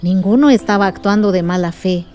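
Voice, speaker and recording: An actress with a Mexican accent playing a patient, soothing aunt telling a story in a radio drama. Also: female voice, mid-range voice, fairly quick, a little mellow, close to an everyday voice. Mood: patient, reassuring.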